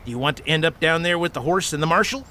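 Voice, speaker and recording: An actor playing a scheming, bossy leader of a counterfeiting gang in a western radio drama, curt in manner. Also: male voice, a little high, expressive, fast, sharp tone. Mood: tense, grudging. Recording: faint outdoor ambience and noise.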